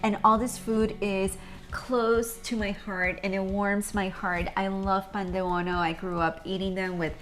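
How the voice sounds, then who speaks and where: A woman's voice: mid-range voice, level tone, a little slow, a bit bright. A woman from the United States hosting an upbeat home organizing show in a chatty, encouraging, informal way. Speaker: professional organizer and show host. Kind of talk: upbeat lifestyle show presenting. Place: home kitchen, indoors. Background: background music.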